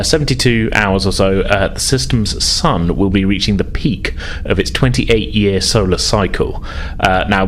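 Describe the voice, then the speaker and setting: Low-voiced man running a tabletop game. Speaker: game master, podcast host. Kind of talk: narrating a tabletop role-playing game. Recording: noticeable background noise.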